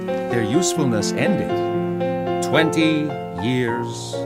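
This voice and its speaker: A man's voice: medium pitch, slow pace, natural voice. An actor playing a coldly arrogant, calculating young man in an audio drama, with clipped, formal, imperious delivery. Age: young adult. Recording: loud music behind.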